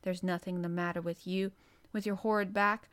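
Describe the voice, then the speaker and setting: A woman's voice: fairly low pitch, steady tone, moderate pace, fairly bright tone, natural voice. A fierce little girl from a storybook, acted out by a woman narrator. She is cross and commanding, scolding hard with a savage temper and bossing whoever is in front of her. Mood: scornful, commanding.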